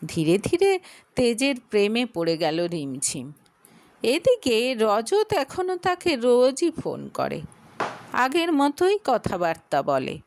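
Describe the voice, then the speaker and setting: Woman narrator, bengali accent, slow delivery, lively rising and falling pitch, dry close sound. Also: no echo. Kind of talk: scripted audio story narration.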